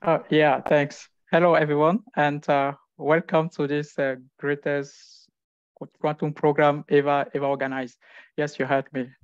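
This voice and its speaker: A young man from Cameroon with a somewhat high voice presents himself as a research fellow. He speaks informally and haltingly, repeating words. The sound is close and dry but dull, like a video call. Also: level tone, clear, resonant tone, no echo. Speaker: physics research fellow introducing themself. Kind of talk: informal self-introduction at an online event.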